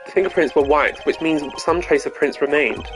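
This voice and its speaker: An amateur performer voicing a smooth, teasing young male prosecutor in a courtroom video game, with a suave, taunting manner. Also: medium pitch, brisk, lightly acted. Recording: game music behind.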